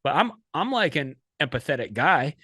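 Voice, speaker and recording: A man's voice: on the high side, very animated, moderate pace, bright, forceful tone, breathy edge, no room sound, clean studio sound. A man hosting a podcast, talking casually in long rambling turns full of fillers and restarts. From United States. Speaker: podcast host.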